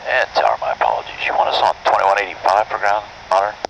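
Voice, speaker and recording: A deep-voiced man with a gravelly creak speaks over a faintly noisy cockpit radio. He is a pilot, giving quick, brief procedural readbacks. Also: lively intonation, a bit bright. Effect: cockpit radio transmission. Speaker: airline or jet pilot.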